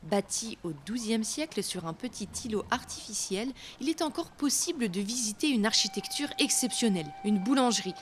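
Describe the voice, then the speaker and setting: A woman's voice: a little high, lively intonation, moderate pace, few pauses, a little hiss or hum. A woman hosting a storytelling show, narrating scripted folk legends in a theatrical, literary manner. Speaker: host and storyteller.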